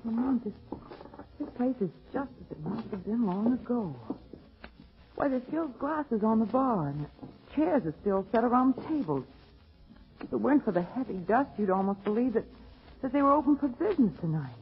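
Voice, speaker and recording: A woman's voice: on the high side, expressive, moderate pace. An actress playing a spirited, wry and slightly nervous lady companion in a 1940s radio drama, with theatrical delivery. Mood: nervous, astonished. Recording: muffled 1940s radio recording.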